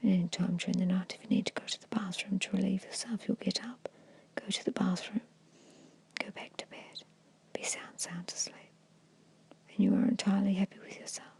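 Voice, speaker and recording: A woman whispers a guided ASMR hypnosis meditation in a breathy, hushed voice, giving gentle, repetitive suggestions. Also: medium pitch, level tone, fairly quick.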